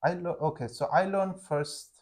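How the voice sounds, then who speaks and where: Halting man chatting casually. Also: a little high, a little mellow. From Afghanistan. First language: Hazaragi. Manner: halting, searching for words, frequent "like". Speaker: English teacher and channel co-host. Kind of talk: casual conversation with his wife for learners.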